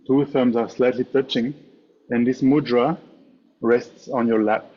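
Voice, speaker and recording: A man's voice: mid-range voice, fairly even intonation, warm tone. Man guiding meditation, slightly muffled audio. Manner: measured, instructive, with pauses between short phrases. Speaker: meditation teacher. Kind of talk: guided meditation instruction. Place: online meditation session over video call.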